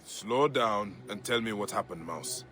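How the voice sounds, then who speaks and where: Low-voiced, grave male investigator. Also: expressive, unhurried, sharp tone. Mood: calm, reassuring. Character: Bayek, grave male Egyptian investigator and protector, steady, compassionate. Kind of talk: video game acting, part dialogue, part musing aloud.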